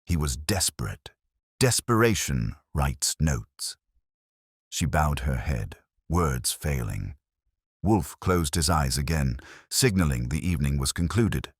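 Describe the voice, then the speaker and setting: A man's voice: a bass voice, expressive, slow pace, slightly rough, no echo, clean studio sound. A man narrating a first-person detective story in the style of an audiobook.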